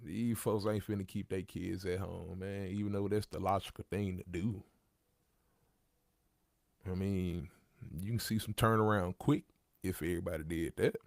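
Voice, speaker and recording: A man in his thirties with a low, gravelly voice, talking casually on his livestream. He leans on slang and circles back to repeat his points. The mic is close and the sound is dry. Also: no echo. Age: 30s. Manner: gravelly, conversational, repeats himself, street slang. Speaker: livestream host.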